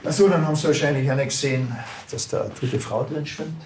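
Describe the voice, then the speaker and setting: A man playing a dry, laconic police officer in a TV crime drama, deadpan and unimpressed. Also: medium pitch, fast talker, a little hiss or hum, natural voice.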